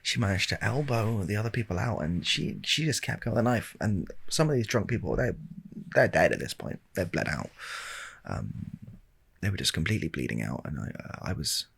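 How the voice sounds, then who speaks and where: A British man telling a rambling anecdote in a soft, breathy ASMR style, hesitant and wryly understated, close to the microphone. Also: on the low side, big pitch swings, brisk. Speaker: ASMR video creator. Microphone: handheld stereo recorder, close up.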